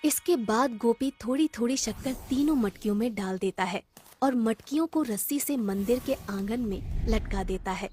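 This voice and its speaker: Mellow, high-voiced woman storyteller. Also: normal speed, slightly rough, faint music behind. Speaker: narrator. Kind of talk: scripted children's story narration.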